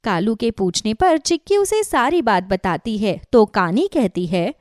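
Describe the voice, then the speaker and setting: A woman's voice: medium pitch, very animated, unhurried, darkish tone, no echo, studio quality. A woman narrating a scripted children's cartoon story.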